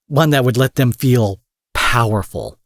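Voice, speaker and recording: A man playing a protective, possessive supervillain in an intimate audio roleplay, husky and theatrical, moving between forceful speech and breathy murmurs. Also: medium pitch, unhurried, no echo, clean studio sound, lightly acted. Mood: indignant, protective. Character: smooth protective male supervillain courting his captive rival.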